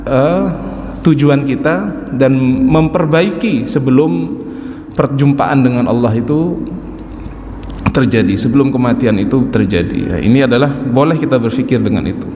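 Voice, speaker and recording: A man in his forties giving a calm, explaining religious lecture at a mosque study circle, often adding an affirming "ya". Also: mid-range voice, a little hiss or hum. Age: 40s. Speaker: religious teacher. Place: large mosque hall. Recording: echoey mosque hall with faint ambience.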